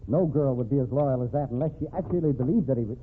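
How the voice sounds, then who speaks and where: An actor playing a brisk, argumentative who champions a condemned man in an old crime radio drama, punchy and insistent. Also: mid-range voice, close to an everyday voice. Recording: tinny noisy old radio recording.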